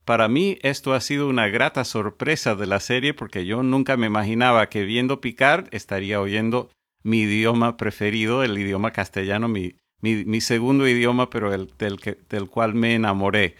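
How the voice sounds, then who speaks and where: A man hosting a podcast panel, speaking in a measured, explanatory way. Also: fairly low pitch, steady tone, a bit bright, no room sound, studio quality. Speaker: podcast host.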